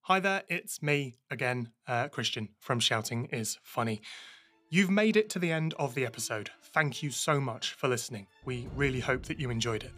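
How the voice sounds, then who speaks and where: Guy doing a casual podcast outro, middle pitch. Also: fairly bright tone. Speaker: podcast host and cast member.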